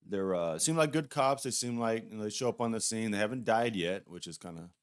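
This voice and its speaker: Low, clear-voiced man chatting casually. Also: animated, a little fast. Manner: rambling, hedging, conversational. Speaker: YouTube reviewer. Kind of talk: casual, unscripted review commentary.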